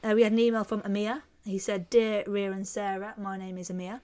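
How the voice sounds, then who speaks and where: A woman's voice: mid-range voice, flat delivery, a little fast, mellow, a little hiss or hum. A woman co-hosting an educational podcast, talking with some hesitation and reading out listener messages. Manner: hesitant with fillers, reads listener mail aloud. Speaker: podcast co-host. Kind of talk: casual educational podcast chat.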